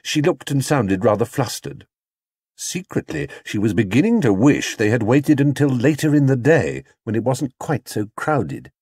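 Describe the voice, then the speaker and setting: A man's voice: mid-range voice, very animated, moderate pace, darkish tone, husky, no room sound. A man reading a scripted children's story as an audiobook narrator.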